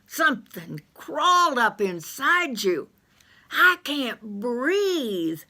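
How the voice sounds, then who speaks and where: Squeamish panicky man, shrill and loud, played by a woman storyteller. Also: sing-song, extremely slow, exaggerated voice. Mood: disgusted. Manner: shrill, frantic, yelling.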